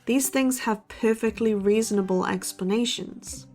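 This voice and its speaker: Sceptical young student podcaster, music behind. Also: female voice, a little high, a little slow, a little mellow. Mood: matter-of-fact. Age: young adult. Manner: sardonic, dry. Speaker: podcast co-host character. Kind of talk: conversational audio drama acting.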